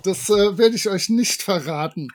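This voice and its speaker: A man's voice: high voice, moderate pace, no room sound. A man chatting casually as a podcast panelist, with a playful, wry manner. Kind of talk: casual chat.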